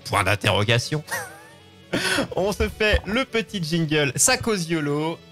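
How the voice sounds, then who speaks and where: A man's voice: on the high side, huge pitch swings, slow pace, fairly bright tone. A man, hosting a casual live show and rambling, often repeating words. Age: 20s. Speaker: host of a live open-line show. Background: music.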